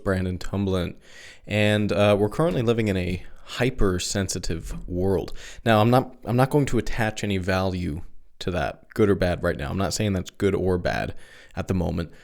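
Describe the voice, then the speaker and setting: A man's voice: fairly low pitch, a little mellow. A young adult man hosting a solo podcast, talking casually through his thoughts with frequent restarts and asides. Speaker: podcast host. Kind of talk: solo philosophy podcast monologue.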